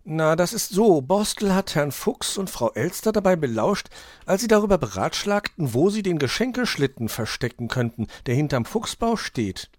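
A man's voice: fairly high pitch, sing-song, moderate pace, dry recording, pitched above the performer's own voice. A man voicing an earnest, sensible young animal detective in a children's story, explaining things reasonably. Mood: eager.

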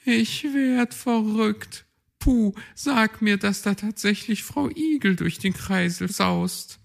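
A man voicing an excitable male owl who talks in loud astonishment. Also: high voice, slow, gravelly, pitched above the performer's own voice, heavily acted. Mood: amazed.

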